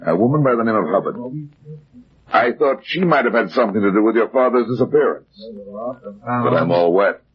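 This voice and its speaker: An actor playing a tough, wisecracking, short-tempered private detective in a brusque, slangy vintage radio drama. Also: male voice, fairly low pitch, animated, fairly quick, pauses often, husky. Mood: businesslike. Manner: brusque, slangy, hard-boiled. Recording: dull vintage radio recording.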